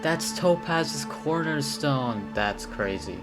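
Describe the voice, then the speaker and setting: Male streamer, casual game commentary, slangy interjections, unhurried pace, clear game music behind. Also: medium pitch, lively intonation. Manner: slangy, interjecting, repeats himself. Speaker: streamer reacting to a game story. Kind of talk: casual live reaction commentary.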